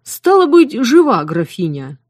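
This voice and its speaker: A woman's voice: fairly high pitch, wildly swooping pitch, measured pace, a little mellow, no room sound, clean studio sound, pitched above the performer's own voice, exaggerated voice. A woman reading an audiobook voices a huge motherly female cook with a drawling, sing-song folksy manner. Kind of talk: audiobook character voice.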